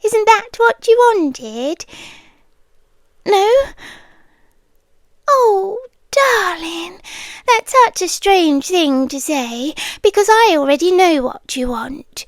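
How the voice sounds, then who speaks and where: A woman voicing a possessive, sweetly menacing queen of the forest, who speaks softly, slowly and coaxingly, often in a whisper. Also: extremely high pitch, lively intonation, no room sound. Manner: soft, slow, whispery and coaxing. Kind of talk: scripted audio roleplay.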